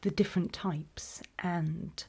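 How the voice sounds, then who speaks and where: A woman playing a nervous, apologetic apocalypse survivor in a horror ASMR roleplay, in a soft, breathy whisper with a halting, self-conscious delivery. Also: on the low side, fairly even intonation, heavily acted. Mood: uneasy, earnest. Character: nervous, apologetic female zombie-apocalypse survivor recording a warning.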